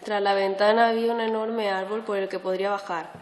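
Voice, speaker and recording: A woman's voice: mid-range voice, flat delivery, a little fast. A teenage girl from Spain narrating a scripted horror story. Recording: very noisy, echoey amateur recording.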